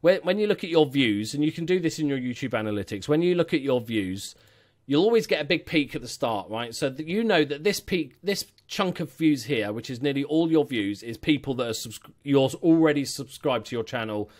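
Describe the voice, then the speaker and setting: A man's voice: medium pitch, expressive, fairly quick. A man giving a casual, rambling critique of a gaming channel as a YouTube coach, often correcting himself mid-sentence. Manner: rambling, self-correcting, chatty. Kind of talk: casual screen-share critique.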